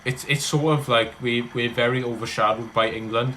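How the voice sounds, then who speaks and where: A man's voice: medium pitch, fairly even intonation, normal speed, bright, forceful tone, reverberant, slight background noise, poor audio. A man with a Welsh accent, chatting casually and rambling on a livestream as he answers his viewers. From Wales. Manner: rambling, chatty, talks in loose run-on thoughts.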